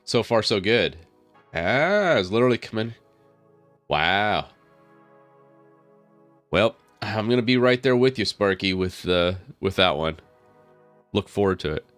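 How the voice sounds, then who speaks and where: A low-voiced man talks loosely on a live stream, thinking aloud as he clicks through his work. A cold leaves him a little raspy, and his talk is full of fillers and quick laughs. Faint music plays quietly underneath. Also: expressive. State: slightly raspy from a head cold. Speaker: tech streamer. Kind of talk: casual live stream talk while working on screen.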